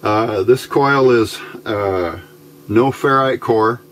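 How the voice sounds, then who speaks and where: A middle-aged man giving a casual hands-on tutorial, speaking in an unhurried, halting way as he thinks aloud. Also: medium pitch, slight background noise. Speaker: electronics hobbyist host. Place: indoor workbench, hands filmed from overhead.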